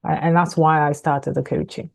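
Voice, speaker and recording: A middle-aged woman from Ghana with a lowish voice, talking as an interview guest. She is chatty and relaxed, laughs readily and leans on fillers like "um" and "so". Her speech sounds unscripted. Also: normal speed, vocal fry. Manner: chatty, laughs easily, many fillers and restarts. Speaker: guest, author and coach. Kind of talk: conversational podcast interview.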